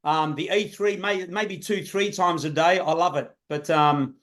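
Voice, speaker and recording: A man's voice: fairly high pitch, steady tone, a little slow, a bit bright. A man on a video call, chatting casually and rambling a little with hesitations. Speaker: guest affiliate.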